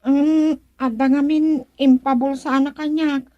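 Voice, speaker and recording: High, plaintive village woman. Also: level tone, measured pace, warm tone, highs cut off, a little hiss or hum. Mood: pleading. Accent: Filipino. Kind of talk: Filipino radio comedy drama acting.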